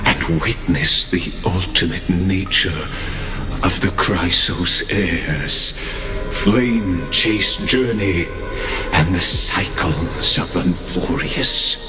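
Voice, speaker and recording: A man voicing an enigmatic, theatrical guide in a game, speaking in a measured, formal and cryptic way. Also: sits low. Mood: knowing, inviting. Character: enigmatic, theatrical male guide narrating endless cycles. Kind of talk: dramatic scripted game dialogue. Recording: echoing voice under clear music.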